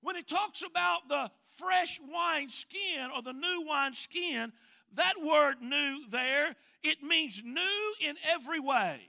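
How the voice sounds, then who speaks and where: A man's voice: extremely high pitch, expressive, creaky, pushed and bright. A man with a Mississippi accent preaching a sermon, stretching out scripture readings and calling on the congregation to respond. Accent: Southern US, Mississippi. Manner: drawn-out emphatic scripture reading, calls for responses.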